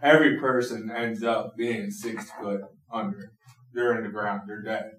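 A man's voice: on the low side, steady tone, unhurried, a bit bright. A male student speaking bluntly and matter-of-factly in a classroom discussion. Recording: echoey classroom from far off.

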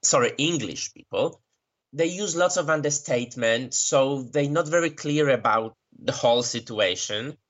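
A man from Poland with a Polish accent, giving thoughtful interview answers as a guest, pausing often and correcting himself. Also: mid-range voice, a bit bright. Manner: thoughtful, frequent pauses and self-corrections. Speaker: interview guest who works with migrants. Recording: dull lo-fi recording.

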